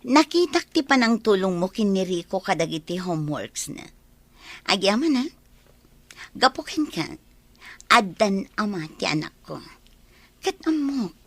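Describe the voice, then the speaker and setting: A woman playing a tender young wife, sentimental and breathy, in an Ilocano radio drama. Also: medium pitch, fairly bright tone, dry recording, exaggerated voice. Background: music.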